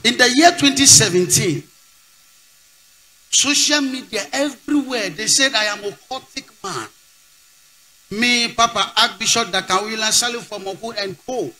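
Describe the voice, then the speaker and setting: Ghanaian man, high bright voice. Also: moderate pace, low-quality recording. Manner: emphatic, counts figures aloud, switches between English and Twi. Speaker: archbishop speaking at a church event. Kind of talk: church speech to a congregation.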